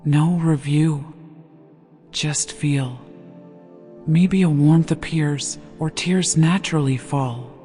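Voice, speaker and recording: A gentle, hushed voice guiding a sleep meditation with slow, deliberate scripted narration. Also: mid-range voice. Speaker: meditation guide. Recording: long reverb over background music. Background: meditation music.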